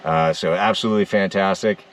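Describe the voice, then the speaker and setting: A man hosting a casual vlog, talking in an upbeat but halting way. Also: sits low, fairly even intonation. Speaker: ham radio vlogger, host. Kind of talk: casual unscripted vlog chat. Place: rural homestead on a rainy day. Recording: distant on-location microphone.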